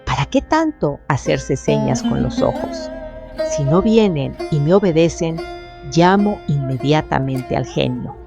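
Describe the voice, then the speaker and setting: A woman acts out a commanding young captive of a genie in an audio drama. Her voice is very low with a gravelly creak, imperious and deliberate. Prominent music plays behind her. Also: sing-song, exaggerated voice. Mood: commanding, threatening.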